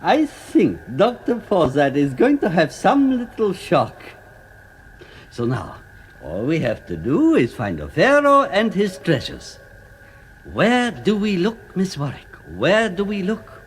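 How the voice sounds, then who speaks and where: A suave Egyptian inspector in a radio drama. His delivery is courtly and deliberate, rising and falling dramatically, with an Egyptian accent and faint noise behind. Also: high voice, wildly swooping pitch, full character voice. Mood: measured, ironic.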